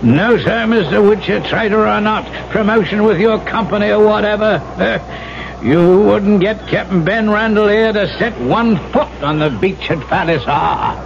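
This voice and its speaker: A man's voice: sits high, a little slow, gravelly. An actor playing a folksy, garrulous, superstitious sea captain in a radio drama, telling his tales emphatically. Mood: grim, emphatic. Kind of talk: 1970s radio drama acting. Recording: dull, noisy old radio broadcast.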